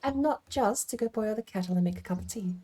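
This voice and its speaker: A woman's voice: mid-range voice, quick pace, mellow. A woman giving casual livestream game commentary, rambling and thinking aloud as she plays. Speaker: game streamer.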